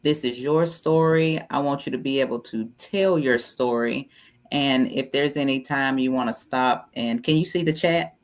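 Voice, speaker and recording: A woman with a low, deep voice hosts an online talk show. She speaks calmly and guides things along, welcoming her guest and explaining how the session will go. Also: moderate pace. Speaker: host.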